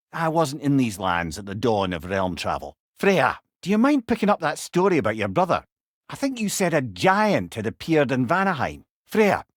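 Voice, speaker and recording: A man's voice: fairly high pitch, dry recording, studio quality. A man voicing a witty, wise old storyteller in a video game, with a Scottish accent and an eloquent, wry, conversational manner. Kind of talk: dramatic video game dialogue.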